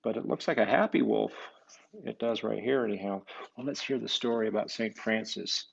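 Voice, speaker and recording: A man reading a children's picture book aloud as a priest, with musing, halting asides to his young listeners. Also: lowish voice, gravelly, husky, highs cut off. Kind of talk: storybook read-aloud with casual asides to children.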